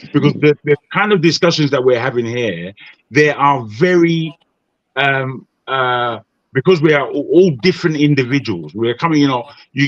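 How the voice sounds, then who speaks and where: A Nigerian man on an online panel, speaking in a measured, advising way with frequent restarts. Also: mid-range voice, no room sound, dull audio.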